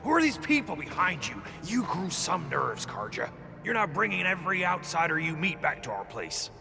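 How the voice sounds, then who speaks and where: A man's voice: fairly high pitch, big pitch swings, normal speed, vocal fry. An actor playing a wary, scolding older man who leads a shelter in a video game. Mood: scolding, alarmed. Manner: suspicious, scolding. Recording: long reverb, music behind.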